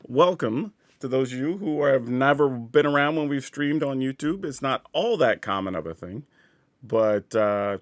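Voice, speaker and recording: A stuffy-nosed man talks through a live stream in an easygoing, chatty way, thinking aloud as he plays. His voice sits at an ordinary middle pitch. The sound is dry and close, with no room around it. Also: no room sound. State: congested from a sinus cold. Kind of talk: casual live-stream chat while playing.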